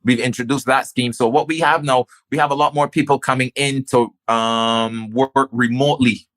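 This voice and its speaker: A man's voice: medium pitch, fairly even intonation, moderate pace, fairly bright tone. A man with a Barbadian accent, a business owner talking casually and stressing his points by repeating phrases. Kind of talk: casual conversation.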